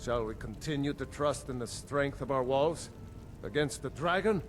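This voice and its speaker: An actor playing a stern middle-aged Jarl in a fantasy video game, speaking formally and with authority. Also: male voice, medium pitch, normal speed, a little hiss or hum, lightly acted. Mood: stately, grave.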